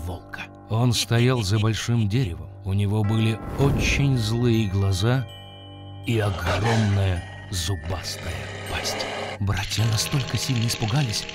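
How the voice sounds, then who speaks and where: A storyteller narrating a scripted fairy tale for children in an expressive, dramatic manner. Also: medium pitch. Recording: music behind the voice. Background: music.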